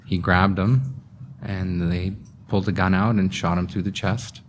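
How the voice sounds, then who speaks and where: Low-voiced young man, measured and halting. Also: fairly even intonation, a little hiss or hum. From Afghanistan. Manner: halting and measured, with many pauses and restarts. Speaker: interviewee. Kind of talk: personal testimony in a documentary interview.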